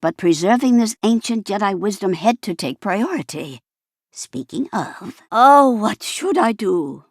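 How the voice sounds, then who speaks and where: A woman's voice: mid-range voice, sing-song, unhurried, dry recording, clean studio sound. An actress voicing a prim, bookish, fussy and wry elderly female Jedi archivist in a video game, speaking formally and theatrically. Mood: worried, formal. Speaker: game character voice actor. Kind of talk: scripted video game character lines.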